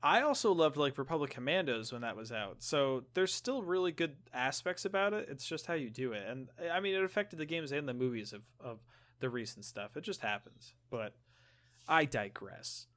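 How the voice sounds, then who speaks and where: A man's voice: medium pitch, lively intonation, moderate pace, fairly bright tone. A man hosting a podcast in casual conversation, explaining things in a loose, rambling way and steering the talk along. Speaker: podcast host.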